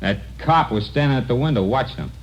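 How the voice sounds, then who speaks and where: Guy doing surly resentful trucker in old radio play, curt, pitch jumps around, bit of hiss. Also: animated, fast talker, muffled recording, close to an everyday voice. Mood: defiant, insistent. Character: surly, defiant, hot-headed male truck driver. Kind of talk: 1950s radio drama acting. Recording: noisy old radio recording.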